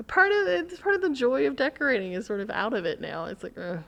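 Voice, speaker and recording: A woman's voice: high-pitched, sing-song, fast talker, creaky, highs cut off. An American woman chatting casually on a podcast in a dry, offhand way. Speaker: podcast guest or co-host. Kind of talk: casual chat.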